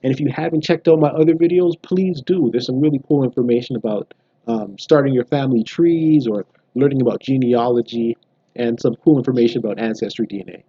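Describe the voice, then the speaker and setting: A man in his thirties from Canada, talking casually to camera at home about his results, explaining in a relaxed way with frequent ums and restarts. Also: medium pitch, a little fast, slightly rough, no room sound, muffled recording. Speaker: genealogy vlogger. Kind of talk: casual direct-to-camera vlog. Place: small bright room indoors, seated at home.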